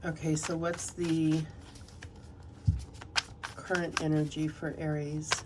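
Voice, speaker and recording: A woman's voice: low voice, level tone, very noisy. A woman reading tarot cards and reading passages aloud from a guidebook, slightly nasal from a cold. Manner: soft, unhurried, reads passages aloud. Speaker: tarot reader.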